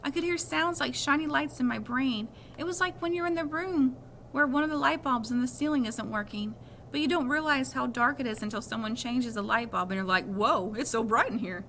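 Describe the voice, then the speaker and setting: Female storybook reader, bright higher voice, first-person narration, some background noise. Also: brisk. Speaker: reader of a children's novel.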